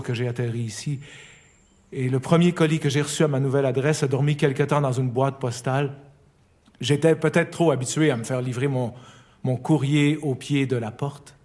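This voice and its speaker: Man, Quebec French accent, mid-pitched voice, intimate unhurried letter reading. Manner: calm, reflective, intimate. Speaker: letter writer. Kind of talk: reading a personal letter aloud. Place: recording studio. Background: faint music.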